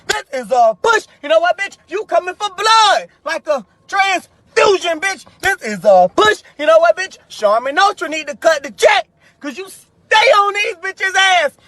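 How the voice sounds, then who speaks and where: Very high bright voice, American accent, brash, sassy, profane shouted hype rant, emphatic. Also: lively intonation, a little slow, no room sound.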